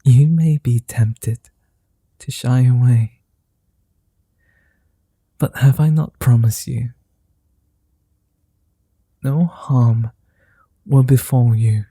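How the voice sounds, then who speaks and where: A man voicing a flirty, tender male vampire in an ASMR roleplay, speaking slowly, softly and formally, often in whispers, close to the mic. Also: medium pitch, steady tone, breathy edge, dry recording, full character voice. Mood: tender whispering. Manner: slow, soft and often whispered; old-fashioned formal speech.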